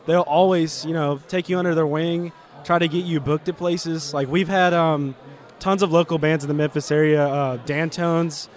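An eighteen-year-old man in a casual interview, his voice pitched a bit high. He rambles in a friendly way and fills pauses with uhs. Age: teens. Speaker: band member, guest.

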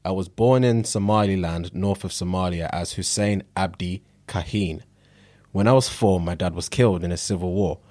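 A British man with a London accent and a fairly low voice, recorded very dry. He co-hosts a podcast in casual, slangy conversation, often repeating phrases to drive them home. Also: normal speed, a little mellow, no echo. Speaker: podcast co-host.

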